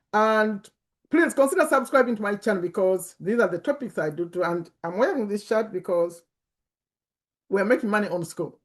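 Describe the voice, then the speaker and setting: A woman in her fifties with a Ugandan accent, giving an informal motivational talk and repeating words for emphasis. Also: medium pitch. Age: 50s. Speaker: personal finance YouTuber and community host. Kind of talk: informal motivational talk to camera and online group.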